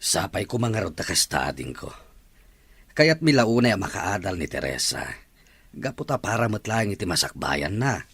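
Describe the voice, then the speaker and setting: Lalaki nga agak-akto iti nababa ti timekna nga uliteg. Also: male voice, low-pitched, very animated, moderate pace, fairly bright tone, husky, dry recording, exaggerated voice. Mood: worried. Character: older uncle, a worried father of a wayward daughter. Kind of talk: Ilocano radio drama acting.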